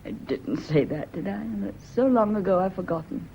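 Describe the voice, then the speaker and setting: A woman's voice: medium pitch, expressive, normal speed, warm tone, natural voice. An actress playing an anxious, guilt-haunted woman with a British accent, nervous and brooding in a radio drama. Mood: reminiscing. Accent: British English. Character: anxious, guilt-haunted woman, former live-in servant or companion. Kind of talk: British stage-style radio drama acting. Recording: dull, hissy old radio recording.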